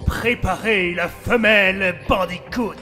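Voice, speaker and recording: A man's voice: sits high, takes it slowly, bright, forceful tone, faint music behind, heavily acted. A man voicing a short-tempered, megalomaniac mad scientist villain in a French game fandub, theatrical and commanding. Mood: exasperated.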